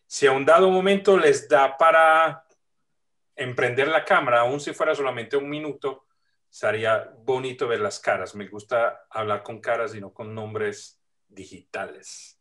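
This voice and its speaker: A man from Italy with an Italian accent, speaking as a guest on a video call in a warm, reflective way with frequent pauses. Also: mid-range voice. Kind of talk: casual video-call interview.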